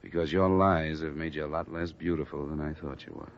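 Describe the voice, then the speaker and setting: A man's voice: a bass voice, steady tone, brisk. A man playing a stern, world-weary insurance investigator with an American accent and clipped, pointed delivery, in a 1950s radio detective drama. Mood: hurt, accusing. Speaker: lead actor and narrator. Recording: muffled, hissy vintage radio recording.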